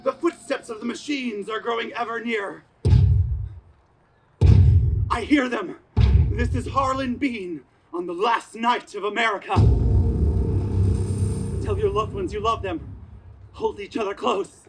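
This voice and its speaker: A man's voice: sits high, a little slow, pauses often, faint music behind. A man playing a vain, melodramatic, panicky radio announcer in a theatrical stage parody. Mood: panicked. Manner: theatrical, overwrought shouting. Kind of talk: 1930s-style radio broadcast parody, stage acting. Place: outdoor community theater stage in parking lot. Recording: outdoor stage recording, faint noise.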